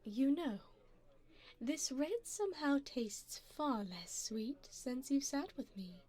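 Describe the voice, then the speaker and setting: A woman plays a refined, predatory vampire lady in an ASMR roleplay. Her voice sits a little high and moves very slowly. She is calm and formal, teasing the listener with flirtatious endearments.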